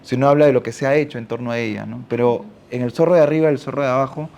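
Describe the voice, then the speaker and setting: A man's voice: medium pitch, steady tone, very fast, creaky, darkish tone, lo-fi sound. A man from Peru with a Peruvian accent, speaking as a panelist at a literary talk and thinking aloud, often ending phrases with a questioning tag. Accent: Peruvian Spanish. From Ica, Peru. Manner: thinks aloud, adds frequent questioning tags.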